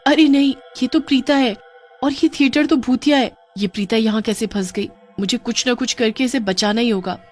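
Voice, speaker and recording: A woman's voice: a little high, normal speed, darkish tone, voice pushed up, close to an everyday voice. A woman voicing a resourceful, caring young girl in a cartoon, speaking with urgent exclamations. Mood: alarmed. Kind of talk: Hindi cartoon voice acting. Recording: music under the voice.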